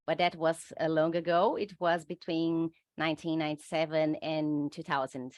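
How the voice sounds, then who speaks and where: A middle-aged Brazilian woman, a native Portuguese speaker, answering interview questions in English with a Brazilian accent, careful and measured, with frequent hesitations. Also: deep voice, fairly even intonation, a little mellow, dry recording, studio quality. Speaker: UX researcher guest.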